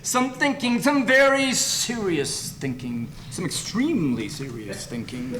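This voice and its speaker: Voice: medium pitch, very animated, a little slow, fairly bright tone. A performer playing a pompous, ponderously grave older father who repeats himself. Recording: roomy, noisy live recording.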